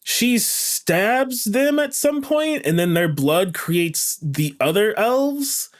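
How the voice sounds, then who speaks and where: A man's voice: high voice, big pitch swings, slow. A man chatting casually as a podcast panelist, retelling game lore in a slangy, irreverent way and acting out dialogue.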